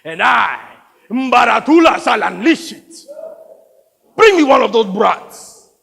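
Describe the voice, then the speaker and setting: A man's voice: very high-pitched, big pitch swings, takes it slowly, gravelly, bright, forceful tone, pitched above the performer's own voice, exaggerated voice. A man playing a savage, gloating African rebel warlord in a stage story, commanding and theatrical, in a reverberant hall. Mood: gloating menace.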